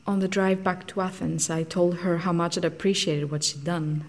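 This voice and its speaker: An actress playing an intense, candid woman scientist in an audio drama, telling of lost love in an earnest, deliberate, wistful way. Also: on the low side, steady tone, close to an everyday voice. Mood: regretful, subdued. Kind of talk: audio drama acting, confessional storytelling.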